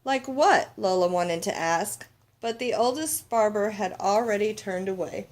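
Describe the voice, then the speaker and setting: A woman reads a children's picture book aloud as an expressive storyteller. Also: mid-range voice, moderate pace. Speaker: storybook reader.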